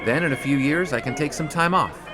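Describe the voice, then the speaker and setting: An actor playing a young 1920s college sportswriter who narrates in ornate prose and banters teasingly. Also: male voice, fairly high pitch, fairly quick, close to an everyday voice. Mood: playful. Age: young adult. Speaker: student sports writer. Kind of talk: 1920s radio drama. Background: music.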